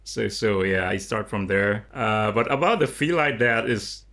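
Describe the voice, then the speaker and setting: Lao-man, halting speech. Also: fairly low pitch, sharp tone. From Laos. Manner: halting, repeats words while searching for English. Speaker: guest artist. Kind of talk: casual conversation.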